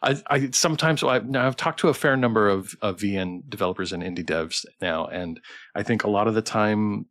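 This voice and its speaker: A man's voice: on the low side, fairly quick, fairly bright tone, no echo, clean studio sound. A man hosting a casual livestream interview, effusive and encouraging with the guest. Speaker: stream host, interviewer.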